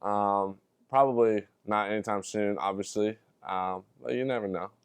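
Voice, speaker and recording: A man's voice: on the low side, normal speed, smooth, dry recording. A young man, a college athlete, giving casual answers in an interview. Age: young adult. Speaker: college basketball player.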